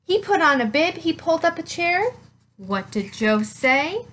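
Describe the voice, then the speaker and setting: A woman in her thirties reads a story for young children. Her voice is high and swoops up and down with lively expression. She takes her time, phrasing each line slowly and with emphasis. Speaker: nurse reading a children's picture book. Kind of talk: children's read-aloud storytelling.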